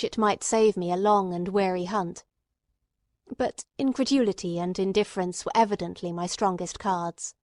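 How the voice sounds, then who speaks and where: A woman reading scripted literary narration in a measured storytelling manner, with acted dialogue. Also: on the high side, darkish tone, dry recording, studio quality.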